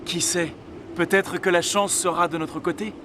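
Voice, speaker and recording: An actor playing an earnest, solemn young male hero in an animated fairy tale. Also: high-pitched, normal speed, background noise, lightly acted. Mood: hopeful. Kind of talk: animated cartoon dub. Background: background music.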